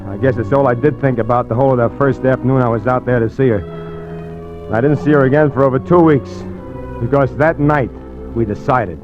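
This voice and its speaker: Man playing a rough hustler on muffled old radio. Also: medium pitch, fairly quick, natural voice. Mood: brooding. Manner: slangy, tough-guy talk. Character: rough, uneducated young male hustler telling his crime story. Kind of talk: 1940s radio acting and first-person narration. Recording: dull old radio recording with music.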